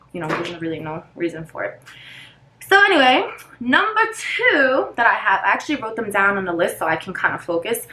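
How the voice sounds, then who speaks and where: A woman's voice: mid-range voice, pushed and bright, roomy sound, low-quality recording. A woman in her thirties whose first language is Spanish, chatting casually in a get-ready-with-me vlog while she does her makeup, rambling and losing her place now and then. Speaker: vlogger.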